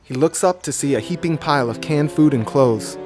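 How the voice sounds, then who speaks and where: A man's voice: fairly high pitch, brisk. A man reading a scripted children's story as its narrator. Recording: music behind the voice. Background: background music.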